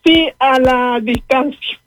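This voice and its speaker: A woman's voice: high voice, steady tone, slow pace, faint music behind. A woman in her thirties with a Colombian accent, chatting casually and politely as a caller being interviewed. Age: 30s. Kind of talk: casual chat.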